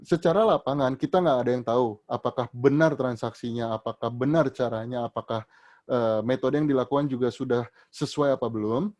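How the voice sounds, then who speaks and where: A male trainer answers questions from an audience during an online session. He talks in a loose, explaining way, full of fillers and restarts, and his voice jumps higher and louder whenever he starts a new answer. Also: fairly even intonation, fairly quick.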